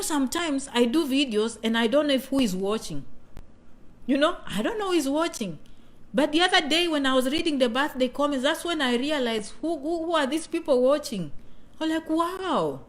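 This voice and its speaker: A woman's voice: on the high side, fairly bright tone. A woman with a Kenyan accent, chatting casually on a livestream as a vlogger, reading comments and often asking "you know?". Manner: chatty, repeats herself, keeps asking "you know?" and "okay?".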